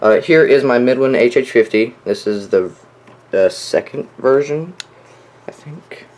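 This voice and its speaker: Young guy doing a casual show-and-tell vlog, kinda halting, trails off, normal pitched voice. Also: expressive, darkish tone. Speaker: collector showing weather radios. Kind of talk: casual product showcase vlog.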